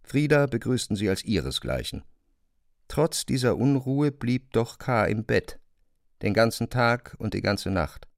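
A man giving a dramatic literary narration for an audio drama, expressive and precise in his diction. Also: medium pitch, unhurried, creaky, no room sound, studio quality.

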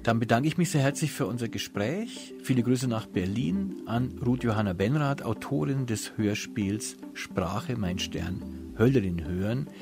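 A man's voice: medium pitch, animated, a little slow, music in the background. A man hosting a radio interview, putting prepared questions and presenting in an orderly way.

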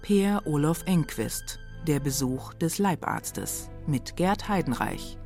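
A woman hosting radio with a scripted presentation, speaking pointedly with deliberate dramatic pauses. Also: sits low, expressive, a little mellow. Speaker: radio host. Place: radio studio. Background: music.

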